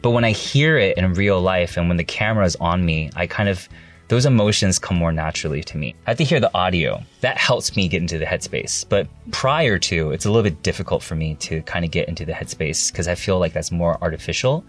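A man's voice: deep voice, animated, a little fast, faint music behind. A young man in casual interview chat, laid-back and rambling with lots of fillers, laughing easily. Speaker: guest, actor and singer.

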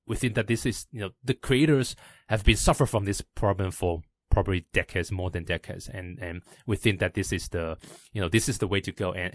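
Taiwanese man, halting with fillers. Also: low-pitched, dry recording. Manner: halting, many fillers and restarts. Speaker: startup founder. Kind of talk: podcast interview.

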